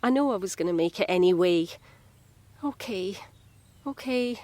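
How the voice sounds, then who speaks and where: A woman's voice: mid-range voice, lively intonation, normal speed, dry recording, natural voice. Woman acting a cheeky Scottish carer, dry close sound. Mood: apologetic, soothing. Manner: chatty, gently teasing. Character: friendly, cheeky, chatty female home carer. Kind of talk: audio drama acting.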